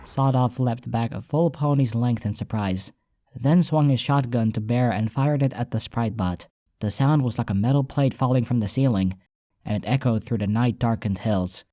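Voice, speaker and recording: A man's voice: mid-range voice, moderate pace, dark tone, dry recording, clean studio sound. A man reading a scripted first-person story as an audiobook narrator, with soundtrack music behind him.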